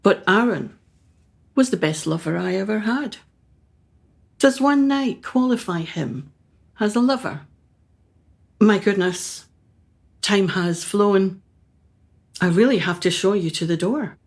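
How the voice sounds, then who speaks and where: An actress performs a haughty woman painter in a radio play. She speaks slowly and archly, with theatrical, very lively swings of pitch. Every phrase carries cool condescension and self-regard. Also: gravelly. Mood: candid, cool.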